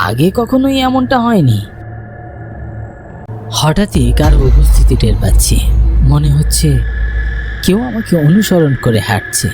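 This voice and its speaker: A man playing a frightened young villager in a horror story, telling it in suspenseful first person and stammering when he addresses others. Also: a little high.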